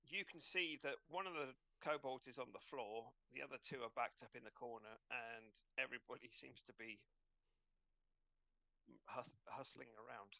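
Gravelly, bright-voiced man running a game. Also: medium pitch, moderate pace, no room sound, low-quality recording. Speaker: game master. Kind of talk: running an online tabletop roleplaying game.

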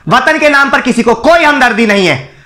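Guy doing a bitter condemned man scolding the crowd, movie monologue style, high sharp voice, scornful and dramatic. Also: lively intonation, full character voice. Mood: stern, angry. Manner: theatrical, scornful, emphatic, with repeated phrases and dramatic pauses. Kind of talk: dramatic Hindi film monologue recital.

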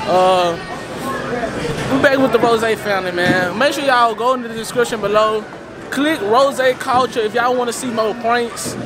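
A man's voice: extremely high pitch, moderate pace. A young man hosting a vlog, chatting casually to a handheld camera in slangy, hyped talk with repeated catchphrases. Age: young adult. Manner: slangy, hype talk with repeated tag phrases. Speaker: vlogger, host. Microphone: camera held at arm's length. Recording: handheld camera, loud background noise and chatter.

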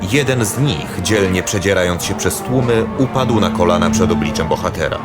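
A man with a low voice narrates a fantasy audio drama. He reads scripted storytelling prose, with very loud music running behind him. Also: moderate pace.

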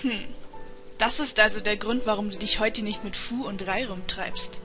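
A woman's voice: medium pitch, fairly even intonation, moderate pace, natural voice. A woman voicing a touchy, easily embarrassed teenage boy in an audio drama, giving short, defensive retorts. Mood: teasing. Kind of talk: fan audio drama dialogue in German. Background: music.

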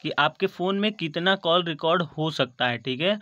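Bright, higher-pitched Indian man presenting. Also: normal speed, no room sound. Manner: repeatedly seeks confirmation, addresses viewers as friends. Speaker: tutorial video host. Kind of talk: step-by-step phone tutorial narration.

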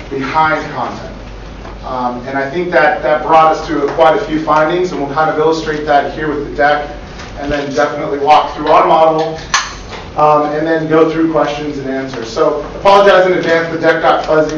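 A middle-aged man with a loud voice giving a business presentation, with frequent ums, heard from across a conference room. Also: medium pitch, background noise. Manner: loud, says so himself, with many ums. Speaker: startup co-founder and guest speaker. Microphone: far room camera mic. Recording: echoey room from far off, dull lo-fi.

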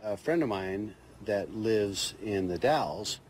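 A middle-aged man giving a casual, halting eyewitness account outdoors, often restarting his sentences. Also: lowish voice, expressive, noisy background. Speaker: interviewed witness.

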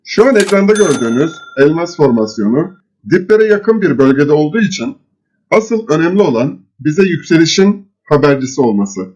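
Higher-voiced man teaching, dull lo-fi sound. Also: a little mellow. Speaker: presenter of trading chart lessons. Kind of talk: instructional screen-share explanation. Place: voice-over recorded over screen capture. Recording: dull, lo-fi voice recording.

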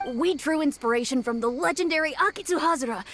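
A woman's voice: high-pitched, fast, fairly bright tone, a little hiss or hum. A woman voicing a small, childlike, earnest and plucky yokai spirit in a video game.

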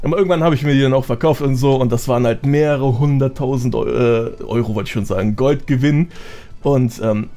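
A man's voice: medium pitch, a little fast, mellow. Young guy guesting on a podcast, slangy and chatty, faint music behind him. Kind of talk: casual chat, telling anecdotes.

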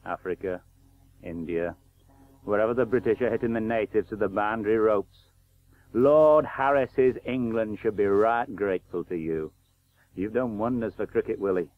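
Radio play: actor as bitter, blunt working-class veteran cricketer, sardonic and colloquial, tinny old broadcast sound. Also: male voice, on the low side, normal speed, lightly acted. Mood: resigned, reproachful. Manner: plain-spoken, sardonic, colloquial. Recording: tinny narrow-band 1980s radio broadcast.

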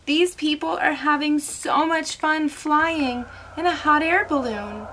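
A woman's voice: sits high, takes it slowly, noisy background. A woman reading aloud to young children as host, instructing and prompting them with questions.

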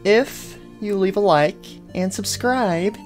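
A man voicing a tiny vengeful female doll with a squeaky voice, talking in slow hushed menace that builds to shrieking rage. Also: faint music behind. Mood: hushed menacing.

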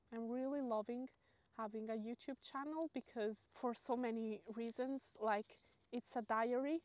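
Female vlogger, late 20s, Italian accent, bright-pitched, chatty and loose, lapel mic outside. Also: no room sound. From Italy, Lake Como area. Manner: chatty, rambling with fillers and restarts. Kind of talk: casual Q&A chat to camera. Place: grassy hilltop outdoors, sunny. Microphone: clip-on lapel mic.

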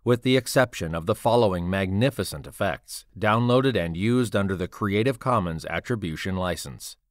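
A man giving a formal, measured scripted announcement as a credits reader. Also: low-pitched, dry recording, studio quality. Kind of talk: scripted narration.

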